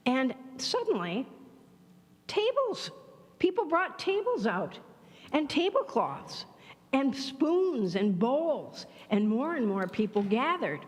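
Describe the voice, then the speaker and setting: A woman telling a folk story at a church service, animated and expressive. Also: high-pitched, slow. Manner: animated, expressive, acting out voices. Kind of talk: storytelling for all ages. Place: church sanctuary. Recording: long church hall reverb.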